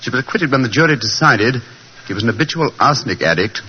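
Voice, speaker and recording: An actor playing a shrewd, self-possessed gentleman detective with a British accent, speaking briskly and precisely in 1940s radio drama style. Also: mid-range voice, lively intonation. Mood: confiding, calculating. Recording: muffled, noisy vintage radio recording.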